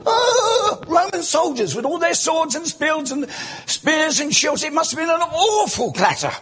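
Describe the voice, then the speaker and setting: A man preaching a sermon in a church, animated, breaking into loud exclamations and asking the congregation rhetorical questions. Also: very high-pitched, unhurried.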